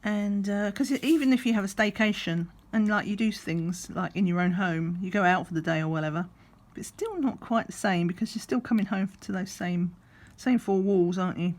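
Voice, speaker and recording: A middle-aged woman, slightly croaky, chatting in a rambling way while doing a craft, sometimes counting under her breath. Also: medium pitch, a little fast, hardly any pauses. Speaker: crafting vlogger. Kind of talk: relaxed chatty craft vlog. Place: at a craft table indoors.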